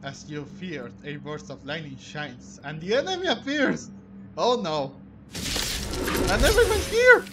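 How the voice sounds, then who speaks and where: A man streaming a video game, reading its text aloud with a halting delivery and reacting as he goes. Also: a little high, big pitch swings, noisy background. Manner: halting, stumbling read-aloud. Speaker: gaming streamer. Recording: game music behind the voice. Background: video game music.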